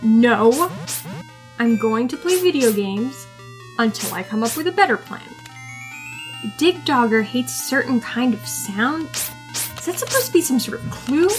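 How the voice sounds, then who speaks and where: Sarcastic, high-voiced young gamer. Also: female voice, expressive, a little slow, long pauses, soft background music, low-quality recording. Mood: jaded, sarcastic. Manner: sarcastic, dismissive. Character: jaded young female agent gamer, sarcastic, stubborn.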